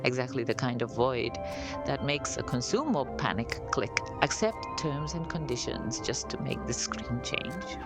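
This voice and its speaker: A woman's voice: very deep voice, lively intonation, measured pace, over loud music. A woman reading a scripted satirical review in a radio play.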